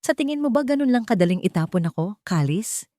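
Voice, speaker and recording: Audio drama role: scheming, two-faced fiancée played by a woman, manipulative and sarcastic, pitched up, close dry sound. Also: expressive, fairly quick, dark tone, dry recording, close to an everyday voice. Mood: sneering, defiant. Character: scheming fiancée, fake-tearful pleading turning cold and sneering. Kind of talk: dramatic audio story dialogue.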